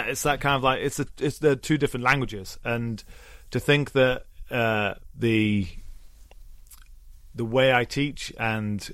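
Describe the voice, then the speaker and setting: A man's voice: medium pitch, fairly bright tone, no room sound, clean studio sound. A man in his thirties hosting a podcast, chatting casually in a self-deprecating way, with frequent laughs and offhand swearing. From Britain. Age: 30s. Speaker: podcast host. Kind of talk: casual chat.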